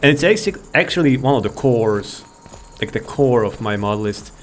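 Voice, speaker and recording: A man's voice: medium pitch, animated, normal speed, slight background noise. A man giving casual, rambling gameplay commentary, laid-back and thinking aloud. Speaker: gaming video creator.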